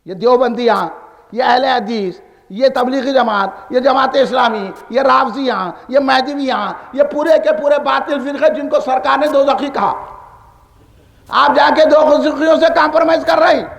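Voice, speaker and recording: A man preaches in a Hyderabadi Deccani accent, his voice pitched extremely high with a gravelly edge. He is combative and emphatic, repeating phrases and firing off rhetorical questions in a fiery sermon style. Long hall reverb trails his amplified words. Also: a bit bright.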